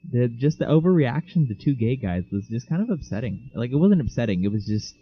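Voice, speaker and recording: A man's voice: medium pitch, big pitch swings, brisk. Young guy co-hosting a podcast, rambly jokey stories, kinda muffled lo-fi sound. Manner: rambling, jokey storytelling with mocking voices. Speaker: podcast co-host. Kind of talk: casual chat. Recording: dull lo-fi mic.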